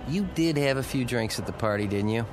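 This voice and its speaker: A man's voice: medium pitch, expressive, fairly quick, music in the background, close to an everyday voice. A man acting in a radio drama as a wisecracking, stubborn everyman traveler, with a wry, emphatic delivery. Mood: teasing, wry.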